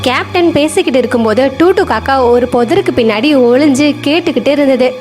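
A woman narrates a children's story in a high-pitched voice. She delivers scripted storytelling over a clearly audible music bed. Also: lively intonation, quick pace, a little mellow.

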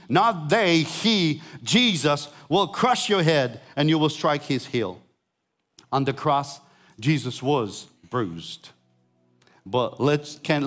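A middle-aged man from Ukraine with a Ukrainian accent, preaching a sermon on a large church stage, swinging between rising emphatic lines and quiet lowered ones. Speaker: pastor.

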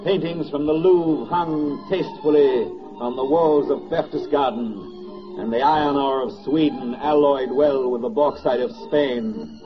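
An actor playing a grave, bitterly ironic wartime narrator in a 1940s radio drama, speaking in measured, declamatory phrases. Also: male voice, mid-range voice, lightly acted. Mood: ironic grandeur. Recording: dull vintage 1940s radio recording, music behind. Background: music.

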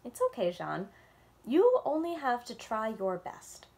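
A woman voicing a calm, reassuring mother in a children's storybook. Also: on the high side, a little slow. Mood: soothing. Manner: gentle, reassuring.

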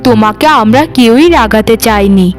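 A woman's voice: fairly high pitch, normal speed, close to an everyday voice. A woman playing a meek sister-in-law in a cartoon, placating and apologetic, with music behind her. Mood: pleading. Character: meek, pleading sister-in-law in a village household. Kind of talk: cartoon voice acting.

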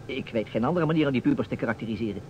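A man's voice: mid-range voice, very fast, lightly acted. An actor playing a playful man who stages a detective game, with a theatrical manner, in an old radio play. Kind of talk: vintage Dutch radio play acting. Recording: dull, noisy old radio recording.

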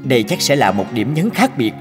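A man's voice: mid-range voice, very animated, brisk, over music, lightly acted. A man playing an earnest, polite young teahouse owner in a cartoon, explaining things respectfully. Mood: thoughtful. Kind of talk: Vietnamese cartoon dub.

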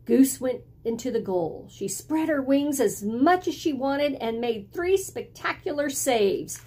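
A middle-aged woman reads a children's story aloud at a slow pace. Her voice sits fairly high, with a slightly husky edge. She tells it expressively and deliberately, as if to young listeners. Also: animated, darkish tone. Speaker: storyteller reading a picture book aloud. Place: small indoor room at home with bookshelves.

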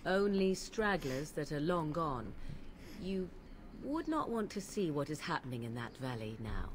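Casting: a haughty young fantasy-game witch, sardonic and archaic in phrasing, low expressive voice, noisy ambience behind. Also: unhurried. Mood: cool, matter-of-fact. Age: young adult. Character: aloof, sardonic young woman witch of the wilds. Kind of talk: fantasy video game acting.

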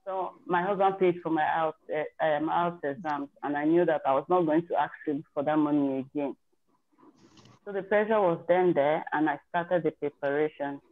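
A woman's voice: low-pitched, fairly even intonation, normal speed. A woman casually telling a group on a video call how she prepared for her exam, candid and chatty, often restarting her sentences. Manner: chatty and candid, with self-corrections. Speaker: participant sharing her exam success story. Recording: tinny, phone-like video call audio.

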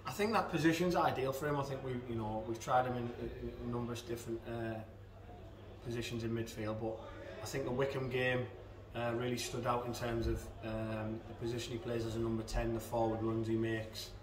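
A man's voice: medium pitch, steady tone, a little fast, background noise. A man in his thirties, an assistant football manager, giving a post-match interview with many fillers and restarts. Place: indoors in front of a club sponsor backdrop. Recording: echoey room, mic at a distance.

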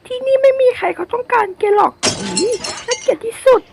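A woman's voice: very high-pitched, huge pitch swings, fairly quick, voice pushed up, full character voice. A woman voicing a disgusted citizen heckling in a game skit with screechy insults. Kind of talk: improvised game role-play skit. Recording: clear background noise.

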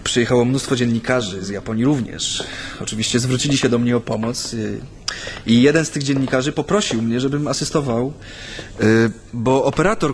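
A man's voice: mid-range voice, a little hiss or hum, lightly acted. An actor playing a talkative, wry dissident recounting his past to an interviewer in a radio play, with hesitant, chatty delivery. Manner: hesitant, chatty, amused storytelling. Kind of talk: radio drama acting, recounting memories in an interview. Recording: studio radio play with faint music.

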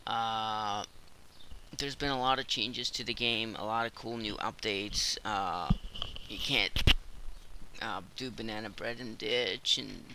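A man's voice: fairly low pitch, fairly even intonation, slow, noisy background. A man with a cold, casually commenting on his own gameplay while he plays, rambling with lots of fillers. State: hoarse from a cold.

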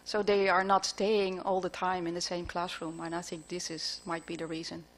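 A woman's voice: lowish voice, level tone. Middle-aged woman answering questions. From Netherlands. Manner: thoughtful, halting with frequent fillers. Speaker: plenary speaker answering questions. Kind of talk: conference Q&A. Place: large lecture hall. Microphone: podium microphone.

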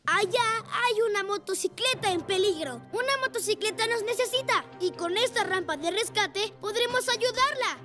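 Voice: very high voice, animated, moderate pace, few pauses. A performer voicing a brave, enthusiastic little boy rescue motorcycle in a children's cartoon. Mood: eager, excited. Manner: enthusiastic, exclaiming. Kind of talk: animated children's show dubbing. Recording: music behind the voice.

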